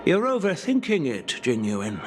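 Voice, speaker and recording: An actor playing an elderly general, a shrewd and wry grandfather with a measured, weighty, teasing manner, in a video game. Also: a little high, huge pitch swings, music in the background. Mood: grave, measured. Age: older.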